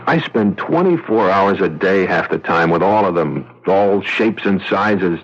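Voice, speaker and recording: An actor playing a blunt, agitated husband, a driven and haunted talent agent, in old-time radio drama style. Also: male voice, deep voice, animated, a little slow, husky, exaggerated voice. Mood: irritated, cynical. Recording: dull vintage radio recording.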